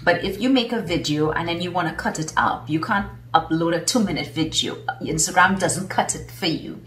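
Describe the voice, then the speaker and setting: A woman's voice: on the low side, normal speed, fairly bright tone. Trinidadian woman, chatty, in an echoey room. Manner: enthusiastic, chatty, many fillers. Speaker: vlogger and business coach. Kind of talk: casual talk to camera. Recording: roomy echo, mic at a distance.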